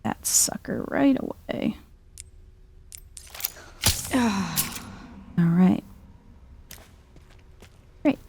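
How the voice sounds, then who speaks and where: Soft, slow-talking woman gamer, mellow and creaky. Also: fairly low pitch, lively intonation, slight background noise. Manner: chill, murmuring, thinks aloud. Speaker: video game streamer. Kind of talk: soft-spoken ASMR gameplay commentary.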